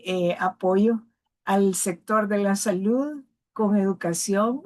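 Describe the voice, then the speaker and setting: A woman from El Salvador giving a personal testimonial in a measured way, often tagging her phrases with a questioning aside. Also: mid-range voice, darkish tone.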